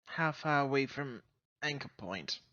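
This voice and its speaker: A man's voice: medium pitch, animated, a little slow, sharp tone. A man casually narrating a character's actions in a tabletop game, recorded with slightly dull microphone sound. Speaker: game player.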